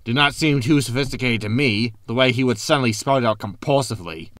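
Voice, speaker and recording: An actor playing a sarcastic, greedy spaceship captain with a wry manner in a sci-fi comedy audio drama. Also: male voice, mid-range voice, fast talker, fairly bright tone, no room sound, clean studio sound, natural voice. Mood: sceptical, wry.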